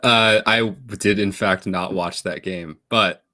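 A man's voice: low-pitched, steady tone, slow, pushed and bright, no room sound, clean studio sound. A young man speaking as a podcast guest in a casual sports discussion over a video call. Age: young adult. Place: bedroom, indoors. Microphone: video call, headset worn.

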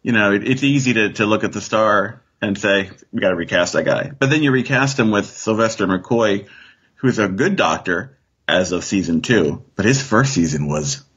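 A man's voice: fairly low pitch, moderate pace, a bit bright, husky. A man chatting casually as a podcast guest, hesitant and thinking aloud, on dull lo-fi call audio. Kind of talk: casual fan discussion.

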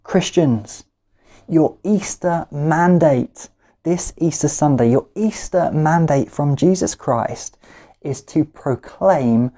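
Slow, mellow man preaching. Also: mid-range voice. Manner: deliberate, emphatic repetition with measured pauses. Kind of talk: sermon. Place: small living room at home, indoors.

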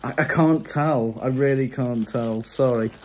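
A young adult man chatting casually and haltingly to his phone in an unscripted vlog, often sounding unsure. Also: medium pitch, a little mellow. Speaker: vlogger. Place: small room indoors. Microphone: phone at arm's length.

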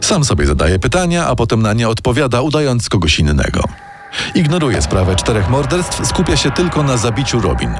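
A man's voice: medium pitch, huge pitch swings, a little fast, music in the background. A man delivering scripted, dramatic true-crime narration as the show's narrator.

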